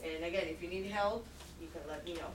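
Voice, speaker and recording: A woman teaching an English conversation class, talking slowly and clearly. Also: fairly low pitch, fairly even intonation, noisy background. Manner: slow, clear teacher talk. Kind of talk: classroom instruction. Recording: echoey classroom from far off.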